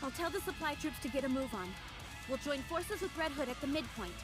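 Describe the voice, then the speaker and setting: Composed, high-voiced young squad leader. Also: female voice, lively intonation, a little fast. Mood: commanding. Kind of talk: anime game voice acting. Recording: music playing behind the voice.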